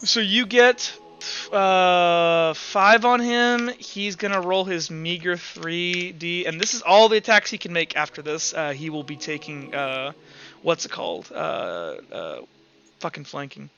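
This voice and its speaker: A man running a tabletop roleplay session as game master over a voice call, halting and thinking aloud. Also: high voice, bright, forceful tone, dull audio, slight background noise. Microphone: online voice call.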